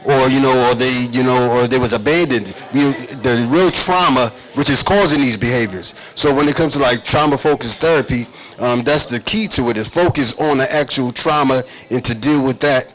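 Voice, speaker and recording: A man's voice: medium pitch, moderate pace, hardly any pauses, a bit bright, low-quality recording. A man giving an explanatory interview answer as an author and therapist.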